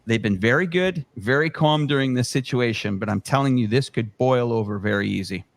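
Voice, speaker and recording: A man with a mid-pitched voice speaks as a political commentator on a livestream panel. His delivery is emphatic and impassioned, built on rhetorical questions and points pressed home to the listener. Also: fairly quick. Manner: emphatic, rhetorical questions, acts out imagined exchanges.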